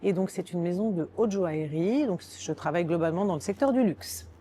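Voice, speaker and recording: Female interview guest, forties, Parisian French, low soft-edged voice, informal delivery that circles back and rephrases. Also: fairly quick, slight background noise. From Paris, France. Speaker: podcast guest, communications director. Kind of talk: conversational interview, recounting own career.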